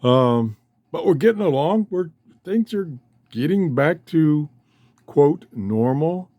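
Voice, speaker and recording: A man's voice: a little high, expressive, takes it slowly, warm tone, no room sound. Older guy rambling on his hobby vlog, takes his time, folksy, pretty dry sound off a phone. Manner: rambling, self-interrupting, folksy. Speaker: host of a shortwave radio hobby channel. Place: cluttered room downstairs at home. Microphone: handheld cell phone.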